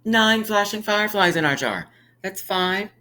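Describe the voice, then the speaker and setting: A man reading a picture book aloud to young children like a teacher, with emphatic pauses and encouraging, friendly asides. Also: high voice, expressive, pushed and bright. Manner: encouraging, inviting listeners to count along; drawn-out, emphatic storytelling delivery with dramatic pauses.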